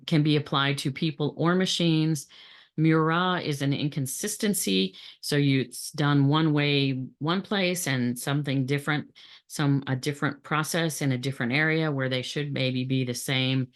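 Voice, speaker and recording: Halting, plain-spoken woman explaining. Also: very deep voice. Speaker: supply chain trainer. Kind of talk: explanatory training presentation.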